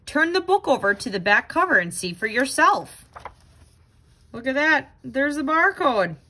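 A woman reading a children's picture book aloud in an expressive, dramatic, exclaiming way. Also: high-pitched, unhurried. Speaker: storybook reader.

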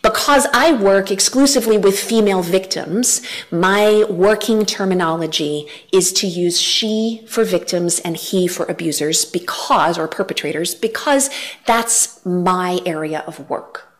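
A woman's voice: on the low side, a little slow. A woman in her thirties giving an earnest church talk from a lectern, gesturing as she speaks, on a headset mic. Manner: earnest, animated gestures, varied emphasis.

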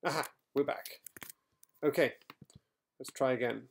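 Middle-aged man, gravelly voice, screencast tutorial, thinking aloud, typing and clicks behind. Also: mid-range voice, measured pace. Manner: thinking aloud, with fillers and self-corrections. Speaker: software trainer. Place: quiet home room.

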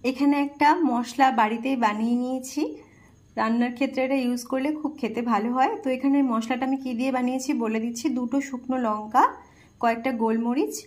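High-voiced Bengali woman explaining a recipe. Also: fast. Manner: calm, plain instructional tone, listing ingredients. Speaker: cooking channel host. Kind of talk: step-by-step recipe narration. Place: home kitchen, by the stove.

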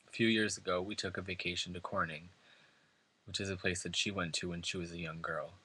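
A man's voice: low-pitched, steady tone, brisk, sharp tone, husky, rough recording. A man from New York State reading a prepared eulogy at a funeral, earnest and emotional. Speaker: son giving a eulogy. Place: funeral service before family and friends.

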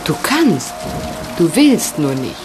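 A woman's voice: on the low side, big pitch swings, slow pace, music in the background. An actress playing a teasing, cheeky young woman in a German radio play. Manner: teasing, playful, pert. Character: teasing, cheeky young female companion of a reporter.

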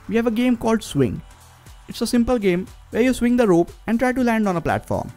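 A man's voice: high voice, darkish tone. A man co-presenting a tech video, reading a scripted review as narration. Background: background music.

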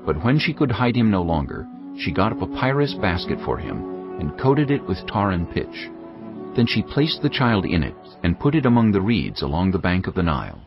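A man narrating scripture in a measured, solemn way. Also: deep voice. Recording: dull studio track under music.

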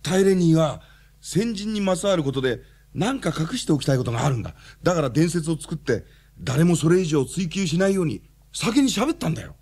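An actor playing a curious, reasoning man with a husky voice in a Japanese radio drama. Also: fairly high pitch, big pitch swings, brisk, a little mellow. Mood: probing, insistent.

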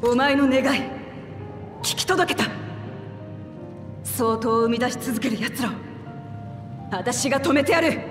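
A young tomboyish princess with a high voice and blunt, earnest speech. She is a compassionate, determined game heroine, heard in an echoey space. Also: unhurried, long pauses. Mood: resolute. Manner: blunt, boyish phrasing, earnest. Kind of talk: dramatic video game voice acting. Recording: echoey long reverb under music.

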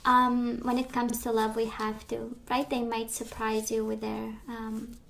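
A woman giving a casual tarot reading, chatty and reassuring, her voice strained as she loses it and clears her throat. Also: on the high side, monotone, a little slow, fairly bright tone, a little hiss or hum. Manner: chatty, reassuring, many fillers and restarts. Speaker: tarot reader. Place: indoors at a table of tarot decks.

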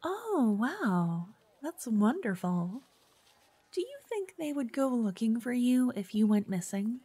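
Woman acting a soft-voiced, pushy, obsessive fangirl. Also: fairly high pitch, sing-song, slow pace, husky, dry recording, full character voice. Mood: curious, probing. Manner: chatty, coy, insistent, slipping into whispers. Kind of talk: ASMR roleplay.

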